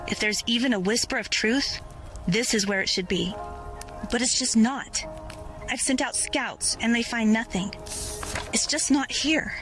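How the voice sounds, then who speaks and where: An actress playing a determined, burdened rebel leader in an audio drama. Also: female voice, on the high side, unhurried, a bit bright, faint music behind, low-quality recording. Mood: frustrated. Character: determined female rebel leader Seraphine, burdened but full of faith.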